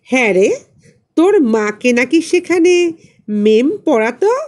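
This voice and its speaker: A woman's voice: high voice, sing-song, takes it slowly, a little mellow, pitched above the performer's own voice, full character voice. A woman voicing a nosy, scornful older village aunt in an audiobook, drawling with mock piety. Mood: nosy, probing. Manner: drawling, mocking, sanctimonious. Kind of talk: audiobook character voice.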